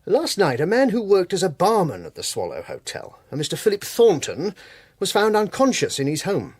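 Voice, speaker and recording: An actor playing a blunt, knowing police detective inspector in a radio drama, with a deliberate, dry, colloquial manner. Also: male voice, high voice, huge pitch swings, husky, dry recording, exaggerated voice. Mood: grave, probing.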